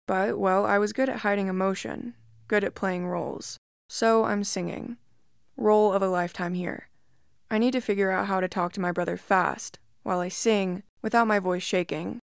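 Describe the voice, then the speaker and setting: A woman narrating a first-person creepy story in a calm, measured storytelling voice. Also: mid-range voice, vocal fry, clear, resonant tone, no echo, studio quality.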